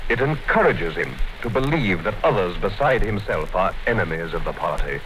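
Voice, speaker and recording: A cold, commanding party official speaks in a low, measured voice that rises and falls freely. His talk is calm and quietly menacing. The sound is an old radio broadcast with a faint hiss. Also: poor audio. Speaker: actor. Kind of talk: 1950s radio drama acting.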